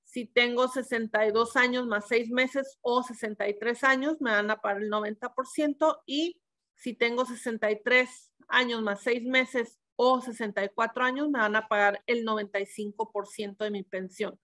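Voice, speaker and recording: A woman's voice: on the high side, steady tone, normal speed, pushed and bright. A middle-aged woman from Mexico giving a webinar, methodically explaining pension rules, with frequent fillers and self-corrections.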